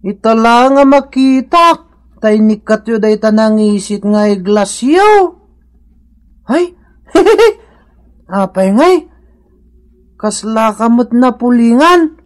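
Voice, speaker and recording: A woman's voice: fairly high pitch, lively intonation, takes it slowly, long pauses. A woman with an Ilocano accent playing a nosy, teasing companion in a comic radio drama, full of exclamations.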